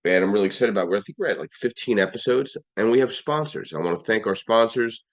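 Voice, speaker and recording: A man hosting a live audio-room podcast, his voice a little hoarse, running through sponsors and lists in an upbeat way with frequent restarts. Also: lowish voice, quick pace. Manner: upbeat, list-like delivery with restarts. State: slightly hoarse. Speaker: podcast host.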